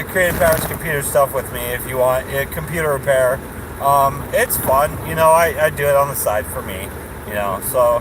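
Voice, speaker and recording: Rambling man vlogging from his car. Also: on the high side. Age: 30s. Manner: meandering, many fillers and restarts, chuckles often. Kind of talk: rambling casual vlog. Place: parked car at night. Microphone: phone camera on dashboard. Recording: noisy car interior.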